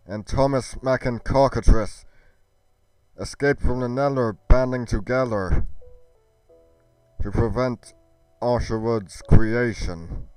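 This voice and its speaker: A man delivering a scripted fantasy roleplay monologue as a brooding nobleman, in a solemn, formal and deliberate manner. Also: medium pitch, fairly even intonation, darkish tone, clear, resonant tone, no echo, soft background music, poor audio. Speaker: creator narrating a Minecraft roleplay in character.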